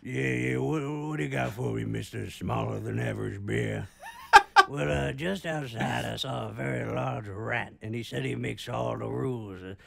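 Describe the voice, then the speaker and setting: A dopey creature with an extremely creaky, gravelly voice. It addresses its club in a halting, self-important folksy ramble, like a fan-made comedy video, with crowd noise around it. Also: male voice, medium pitch. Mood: rambling, earnest. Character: dim, rambling creature chairing a club meeting. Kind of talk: comic character impression in a fan video. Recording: crowd noise, replayed on a stream.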